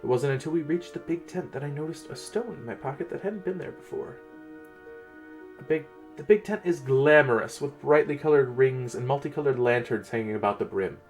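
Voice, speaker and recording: A man's voice: mid-range voice, fairly quick, soft background music. A man narrating a first-person fantasy story in a dramatic reading style.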